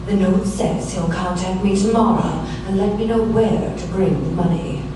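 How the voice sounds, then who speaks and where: A woman's voice: mid-range voice, moderate pace, natural voice. A woman playing a haughty glamorous film actress who fears scandal, in a theatrical, affected noir radio comedy style. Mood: pleading, anxious. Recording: very echoey room, distant mic, clear noise.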